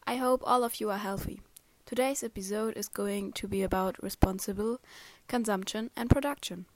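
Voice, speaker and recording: A woman's voice: medium pitch, normal speed, no echo. Earnest young woman, dry sound. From Germany. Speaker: podcast host. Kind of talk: reading prepared remarks.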